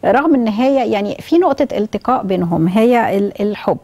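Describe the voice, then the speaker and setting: A middle-aged woman with an Egyptian accent, giving astrology advice in a talk-show studio, speaking slowly with pauses. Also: medium pitch, animated, warm tone. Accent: Egyptian Arabic. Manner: measured, explains slowly with pauses and fillers. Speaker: astrologer guest. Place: bright television studio.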